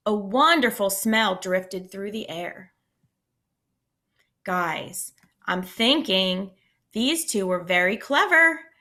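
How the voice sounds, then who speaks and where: A teacher reading a picture book aloud to young children, with expressive voices and little asides to the class. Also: female voice, medium pitch. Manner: animated, pausing to comment to children. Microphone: screencast computer mic.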